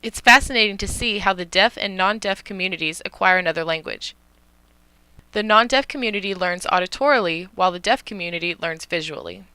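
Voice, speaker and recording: Bright, smooth woman explaining. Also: medium pitch, brisk, no echo, rough recording. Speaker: student interpreter. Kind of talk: voicing a signer's commentary.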